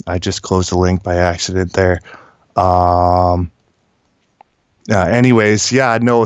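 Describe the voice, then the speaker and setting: A man speaking as a guest caller, giving informal industry commentary in a halting, blunt, opinionated way with many filled pauses. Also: sits low, clear, resonant tone, no room sound.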